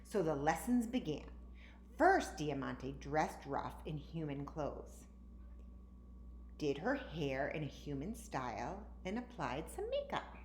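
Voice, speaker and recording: A woman reading a children's story aloud with animated, emphatic narration. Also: low voice, takes it slowly. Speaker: storytime reader. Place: indoor room, recorded at home. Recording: roomy, echoey, faint background noise.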